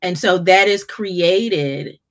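A woman's voice: fairly low pitch, extremely slow, a bit bright. A woman in her fifties hosting an interview podcast, talking earnestly and repeating words as she gets going. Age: 50s. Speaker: podcast host. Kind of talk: conversational interview.

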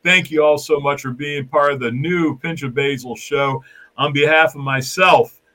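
A man's voice: fairly high pitch, steady tone, normal speed, sharp tone. A man hosting a talk show, effusive and folksy, thanking guests and viewers loosely. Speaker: show host.

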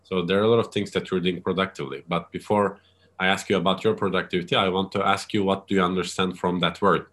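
A man hosting an interview, speaking in a polite, measured and reflective manner. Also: low voice, level tone, fairly bright tone. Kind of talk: hosted interview.

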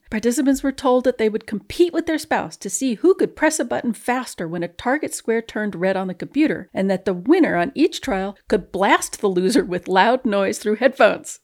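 A woman's voice: a little high, a little mellow. A woman reading formal scientific text aloud in a precise, straight-faced manner. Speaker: guest reader, scientist. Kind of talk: dramatic reading aloud of a research paper.

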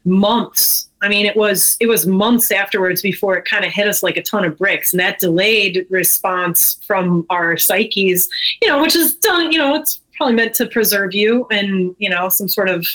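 Woman, American accent, medium pitch, informal, emphatic, conversational interview guest, candid. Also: moderate pace, fairly bright tone, low-quality recording. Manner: informal, emphatic, peppered with "like" and "you know". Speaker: interview guest, brewery owner.

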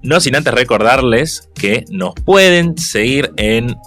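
A man's voice: medium pitch, lively intonation, takes it slowly, a bit bright, faint music behind. A man with a Rioplatense Spanish accent hosting a radio panel, joking and steering the debate. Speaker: radio host. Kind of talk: casual radio panel chat.

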